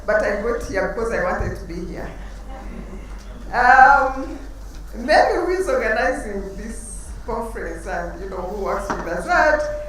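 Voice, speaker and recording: A woman giving a lively, storytelling conference talk with emphatic asides. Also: mid-range voice, unhurried, slight background noise. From Uganda. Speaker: conference panelist. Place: large conference hall. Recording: roomy hall, speaker far from mic.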